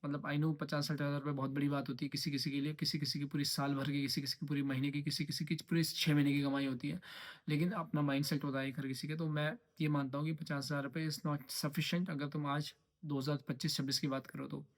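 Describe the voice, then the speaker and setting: A young man from the Himalayan hills of Uttarakhand speaks, pitched a little above the usual for a man. His delivery is rapid and rather flat, rambling and repeating himself while slipping English phrases into casual vlog chat. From Uttarakhand, India. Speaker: trading vlogger.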